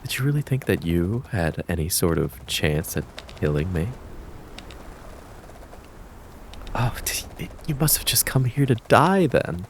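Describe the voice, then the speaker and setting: A man voicing a deep, menacing kitsune fox spirit. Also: very animated, a little hiss or hum. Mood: taunting menace. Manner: taunting, theatrical, drawn-out predatory purr. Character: menacing, mocking kitsune fox spirit who hunts humans in the woods. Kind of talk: ASMR roleplay monologue.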